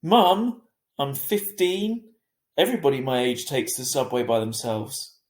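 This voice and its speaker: A man's voice: mid-range voice, very animated, unhurried, echoey room. A man voicing a touchy, defiant fifteen-year-old girl in an emphatic storybook reading. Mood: indignant, insistent. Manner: emphatic, indignant.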